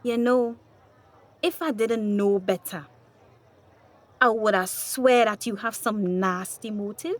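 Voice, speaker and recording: An actress playing a distressed but defiant young daughter in a radio drama, with a Tobagonian accent. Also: female voice, mid-range voice, slow, pauses often, natural voice. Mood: indignant. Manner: pleading, defiant.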